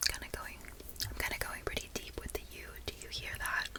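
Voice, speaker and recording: An American woman speaking in a soft, breathy ASMR whisper, lingering over and repeating her words. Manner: slow, lingering repetition of words. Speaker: ASMR video creator.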